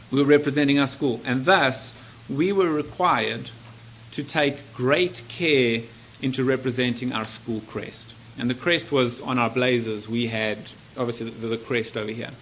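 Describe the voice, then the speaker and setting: A man's voice: mid-range voice, fairly bright tone, slight background noise, poor audio. A man from South Africa with a South African accent, preaching a sermon in an earnest, conversational way. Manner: earnest, conversational, self-correcting.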